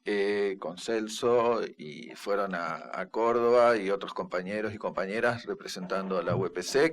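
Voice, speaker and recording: A low-voiced Argentine man hosts a radio talk show. He talks casually and moves the conversation along with short prompts to his guests. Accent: Argentine Spanish, voseo. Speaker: radio host. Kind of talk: radio interview.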